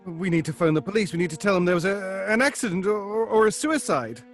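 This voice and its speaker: A woman's voice: medium pitch, animated, quick pace, soft background music, lightly acted. A woman playing a flustered member of a theatre troupe in a horror drama, urgent and stammering. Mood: nervous, soothing.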